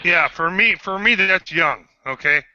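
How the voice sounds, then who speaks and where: A man in his fifties, a guest on an online panel, speaking haltingly with many pauses and restarts. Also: high voice, expressive, pushed and bright, lo-fi sound. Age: 50s. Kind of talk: casual group chat.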